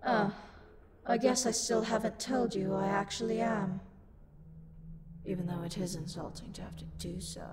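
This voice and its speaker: A woman's voice: medium pitch, fairly even intonation, normal speed, pauses often, very noisy, natural voice. A woman playing an angry, haughty, sassy luck demon in a roleplay audio drama, scornful, theatrical and exasperated.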